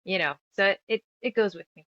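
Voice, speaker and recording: A woman's voice: medium pitch, quick pace, pushed and bright, no room sound, lo-fi sound. A woman from the United States speaking as a creative guest in a casual interview, talkative and reflective as she rambles through her thoughts. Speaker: guest, author, musician and audio drama creator.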